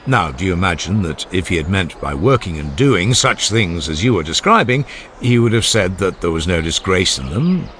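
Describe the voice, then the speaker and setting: A proud older Athenian man, as a male narrator plays him argues his case in a low voice. He is formal, insistent and easily irritated, with emphatic rises and falls, in a dramatized audiobook with faint noise behind. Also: sing-song, normal speed, a bit bright. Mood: argumentative, insistent. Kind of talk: dramatized philosophical dialogue audiobook.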